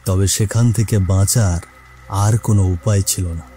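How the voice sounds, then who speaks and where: A man's voice: fairly low pitch, fairly even intonation, moderate pace, mellow. A man narrating a cartoon moral tale in a dramatic, scripted storytelling style. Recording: faint music behind.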